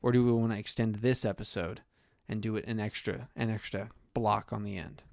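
A young man co-hosting a podcast, animated and joking, often laughing. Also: lowish voice, vocal fry, no room sound, clean studio sound. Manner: animated, laughing, quick jokey asides. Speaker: podcast co-host. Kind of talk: casual podcast chat.

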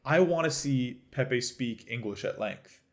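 Podcast co-host, male, lively swooping delivery, casual and laugh-prone. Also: mid-range voice, a little slow. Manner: animated, laughs often, restarts sentences. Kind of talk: casual chat recapping a reality show.

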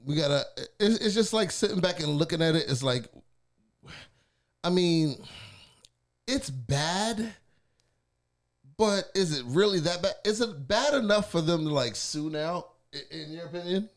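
Animated, profane man in casual chat. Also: fairly high pitch, measured pace, pauses often. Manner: animated, profane, laughs often. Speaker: podcast co-host.

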